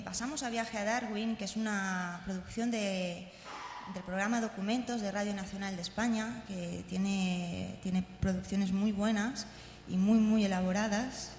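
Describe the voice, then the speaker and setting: A woman from gives an informal talk introducing audio clips, haltingly and repeating words as she explains. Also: medium pitch, a little mellow. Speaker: conference speaker. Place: large indoor congress hall. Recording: echoey hall, long reverb, faint noise.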